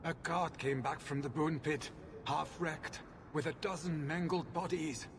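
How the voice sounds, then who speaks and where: An actor playing a greedy, self-pitying mine owner in a video game, lamenting in a theatrical manner. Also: male voice, a little high, fairly even intonation, measured pace, background noise. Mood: distraught. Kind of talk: dramatic video game dialogue.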